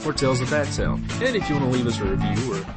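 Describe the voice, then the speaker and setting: A man's voice: mid-range voice, animated, fast. A man reading a podcast's closing credits in a casual scripted outro style. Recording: loud guitar music behind. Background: loud plucked guitar music.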